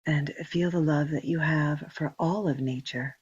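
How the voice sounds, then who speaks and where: Female meditation guide, soft husky breathiness, gentle spaced-out phrasing, dry but dull lo-fi sound. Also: low voice, fairly even intonation, dry recording. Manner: slow, gentle instruction with long pauses. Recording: dull, muffled lo-fi recording.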